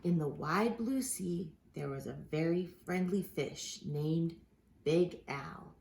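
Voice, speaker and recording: A woman reading a children's picture book aloud expressively, with dramatic pauses and drawn-out words for emphasis. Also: lowish voice, creaky, a little mellow, a little hiss or hum. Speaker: children's storybook reader.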